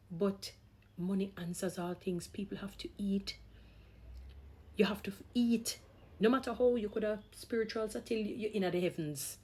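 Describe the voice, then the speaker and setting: A woman's voice: medium pitch, darkish tone, slightly rough. A woman vlogger giving opinionated talk in an emphatic, exhorting manner, repeating phrases for stress. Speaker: vlogger, gospel singer.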